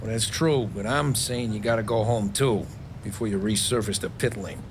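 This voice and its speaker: An actor playing a modest young mob driver with a casual, understated manner in a video game. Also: male voice, on the low side, moderate pace, slight background noise, natural voice. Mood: easygoing, modest. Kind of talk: naturalistic video game acting.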